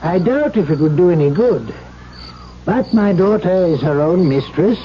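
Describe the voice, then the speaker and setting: An actor playing an old, secretive doctor and possessive father in a 1970s radio drama, with a weighty, halting, formal delivery. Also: sits high, lively intonation. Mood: grudging courtesy. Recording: muffled, noisy 1970s radio broadcast.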